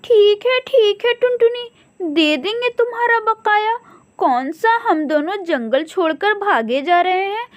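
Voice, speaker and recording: A woman's voice: very high-pitched, animated, measured pace, dry recording, pitched above the performer's own voice. A woman voicing a boastful, nagging female crow, a quarrelsome cartoon wife who complains a lot. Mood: dismissive.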